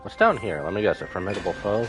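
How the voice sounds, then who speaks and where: A man's voice: deep voice, lively intonation, fast, music in the background. A man giving casual live gameplay commentary, muttering questions to himself as he goes. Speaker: game streamer.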